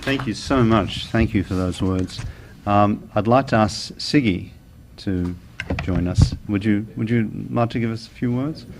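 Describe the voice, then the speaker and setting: A man's voice: on the low side, unhurried, hardly any pauses. A man hosting an event, thanking one speaker and inviting the next to the microphone. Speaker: event host. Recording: noisy room.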